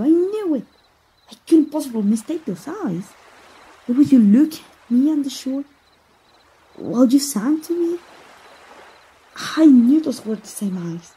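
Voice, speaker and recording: A woman from Mexico voicing a gentle, romantic young princess in an ASMR roleplay, soft and breathy, with a hesitant, earnest manner. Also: high voice, pitched above the performer's own voice, full character voice, poor audio. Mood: joyful, amazed. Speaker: amateur voice actor.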